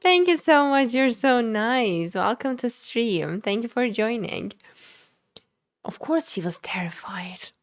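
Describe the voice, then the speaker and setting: A woman's voice: fairly high pitch, animated, slow pace. A woman livestreaming a video game, commenting and reading the in-game text aloud. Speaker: game streamer.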